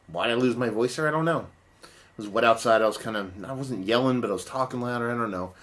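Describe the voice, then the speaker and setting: A man casually reviewing a beer in a rambling, profane way, his voice partly lost and sitting lower than usual. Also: fast, fairly bright tone.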